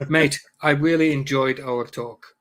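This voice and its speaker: A man's voice: medium pitch, steady tone, unhurried, a bit bright. A middle-aged man from Iraq, a native Arabic speaker, chatting casually as a podcast guest, jokey and full of hesitant fillers. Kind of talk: casual conversation.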